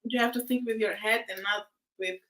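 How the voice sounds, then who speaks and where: A woman's voice: fairly high pitch, fairly even intonation, moderate pace, sharp tone. A woman host, chatting casually on a video call, often laughing and restarting her words. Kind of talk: casual live chat.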